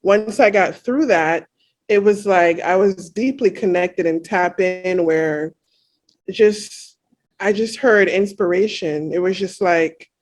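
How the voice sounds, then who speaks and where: A woman's voice: lowish voice, takes it slowly, darkish tone. A woman in her thirties from Jamaica, a guest in a casual interview, talking reflectively with many "you know" fillers.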